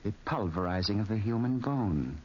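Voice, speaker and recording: Guy playing fat creepy villain, oily fake-friendly, low voice, old radio drama with noisy sound. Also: expressive, close to an everyday voice. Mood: gloating menace. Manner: slow, savoring, unctuous. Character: fat sinister man, gloatingly menacing, falsely friendly. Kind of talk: 1940s radio acting. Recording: muffled, noisy 1940s radio recording.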